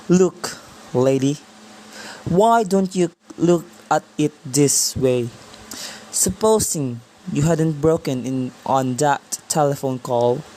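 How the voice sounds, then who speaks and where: Radio play role: dismissive, tired cop on a call female actor, deep for a woman, unhurried, condescending. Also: dark tone, low-quality recording. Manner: patronizing, unhurried, stolid. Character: weary, dismissive police officer taking a call.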